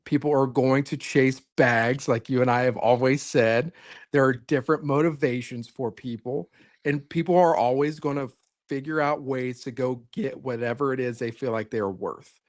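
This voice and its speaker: A man's voice: mid-range voice, normal speed, dry recording. Male co-host, earnest and rambling, casual sports talk, dry close mic. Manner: earnest, rambling, repeats words, says "man" often. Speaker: co-host, coach.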